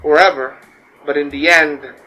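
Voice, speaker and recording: A man speaking in a relaxed, philosophical way in a personal interview. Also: a little high, moderate pace, a little hiss or hum, rough recording.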